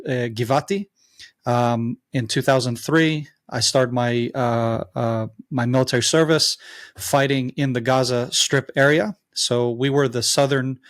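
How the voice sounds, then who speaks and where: Halting American-accented man, dry sound. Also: mid-range voice, no room sound. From Israel. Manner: halting, many fillers and restarts. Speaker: guest. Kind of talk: interview answers.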